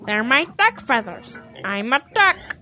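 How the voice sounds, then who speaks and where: A woman's voice: on the high side, very animated, a little slow, bright, forceful tone, soft background music, exaggerated voice. A woman voicing a childish, stubborn boy calf who insists he is a duck, in an animal audio drama. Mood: proud, insistent.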